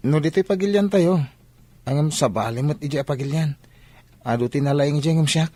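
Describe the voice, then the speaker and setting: A man's voice: fairly high pitch, brisk, warm tone, close to an everyday voice. A man with an Ilocano Filipino accent playing an earnest young student athlete in an audio drama. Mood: earnest, worried. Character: earnest young male student athlete devoted to his mother. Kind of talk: amateur audio drama acting.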